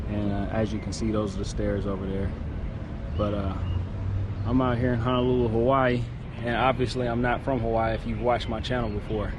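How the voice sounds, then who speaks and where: A man's voice: on the low side, little pitch movement, a little fast. Young man vlogging outside. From East Bay Area, California. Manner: rambling, reflective, frequent uh fillers. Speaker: fitness vlogger. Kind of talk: casual vlog talk to camera. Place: outdoors by a city convention center. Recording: outdoor street noise, cars passing. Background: city traffic noise.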